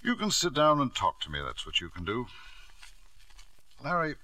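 An actor playing a proud, stubborn older father in vintage radio drama, insistent and smooth-talking. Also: mid-range voice, sing-song, moderate pace, bright, forceful tone, a little hiss or hum. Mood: ingratiating. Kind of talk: 1940s-50s radio drama acting.

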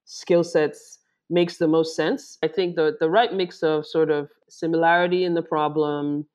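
A woman's voice: sits low, steady tone, unhurried, darkish tone. A woman who is a former startup executive, answering interview questions thoughtfully and often rephrasing mid-sentence. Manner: thoughtful, self-correcting phrasing. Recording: dull lo-fi audio.

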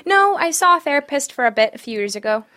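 A woman's voice: high-pitched, big pitch swings, fast talker, pushed and bright, full character voice. A woman playing a nervous therapy patient who tries to be fun, awkward and rambling, in a sitcom table read. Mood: nervous, chatty.

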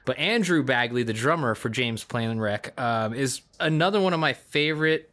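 A man's voice: mid-range voice, animated, moderate pace, pushed and bright. A man chatting casually and enthusiastically as a podcast co-host. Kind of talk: casual chat.

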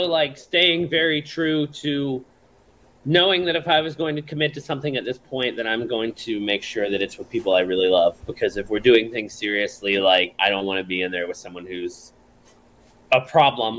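A man's voice: medium pitch, moderate pace, bright, forceful tone, slight background noise, low-quality recording. A man who is a songwriter and performer, chatting casually as a podcast guest, laid-back, swearing freely and laughing often.

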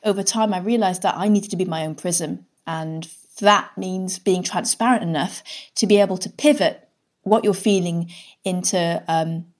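A woman's voice: lowish voice, normal speed. A woman with a British accent speaking casually as an interview guest, chatty and candid, explaining things through personal examples. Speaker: guest, scientist and writer.

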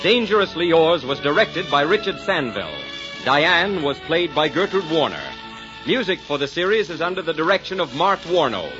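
Animated 1940s radio announcer man. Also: fairly high pitch, moderate pace. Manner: polished, emphatic salesmanship. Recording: muffled 1940s radio broadcast, faint music.

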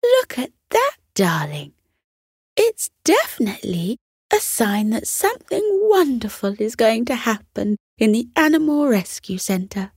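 Lady doing a adoring storybook mum, gushy n excited, swoops way up high, slow. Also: breathy edge, dry recording, clean studio sound, exaggerated voice. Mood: delighted. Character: warm excited mother, adoring and wonder-struck.

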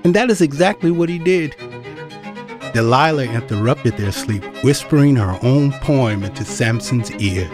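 A man's voice: medium pitch, expressive, normal speed. A man narrating an audio drama as scripted, dramatic storytelling. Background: violin and string music.

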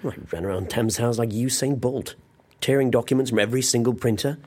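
An actor playing a fussy, wry British office worker in a naturalistic audio drama, dry and complaining. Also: male voice, mid-range voice, fairly quick, darkish tone, breathy edge, natural voice. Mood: exasperated, wry.

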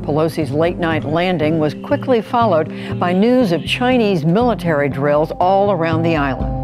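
A woman news anchor in an archive clip, reading a report with crisp, formal delivery. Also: on the low side, animated, moderate pace, over music.

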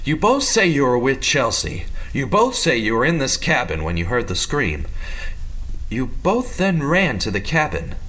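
A man's voice: medium pitch, very animated, moderate pace, fairly bright tone, low-quality recording. A man playing a wary, commanding businessman who narrates a murder mystery in an amateur audio drama. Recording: noticeable background noise.

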